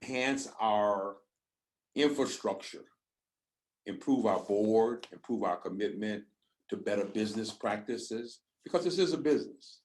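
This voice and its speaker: A man with a fairly low voice answers a panel question on behalf of his community organization. He speaks slowly and deliberately, pausing mid-phrase as he lays out his points one by one. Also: lively intonation, darkish tone.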